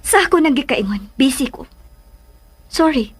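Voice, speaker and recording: A woman's voice: sits high, animated, normal speed. An actress playing a brusque, busy middle-aged mother in a Filipino radio drama, curt and dismissive, with a Filipino accent. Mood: curt, annoyed.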